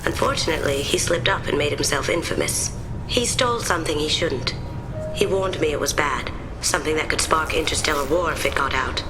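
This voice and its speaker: Sly young female master thief, voiced by an actress, game cutscene. Slightly low, breezy, wry, over clear music. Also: a little fast, lightly acted, rough recording. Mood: wistful. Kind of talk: video game voice acting.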